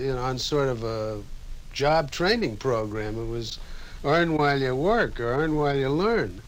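Older man reminiscing, medium pitch. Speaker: interviewee, veteran radio writer. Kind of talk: spoken reminiscence.

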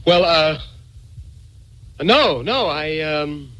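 An actor playing an earnest, bewildered man telling his uncanny adventure in an old-time radio drama, dramatic and halting when nervous. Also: fairly high pitch, animated, a bit bright, full character voice. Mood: nervous, hesitant. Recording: old-time radio recording with music behind.

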